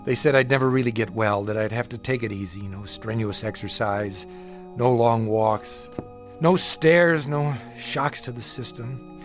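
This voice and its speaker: Actor as a haunted, sleepless man, edgy and halting. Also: mid-range voice, faint music behind, natural voice. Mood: weary, agitated. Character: haunted, sleepless male patient afraid of his own imagination. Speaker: actor in a radio drama. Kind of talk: audio drama acting.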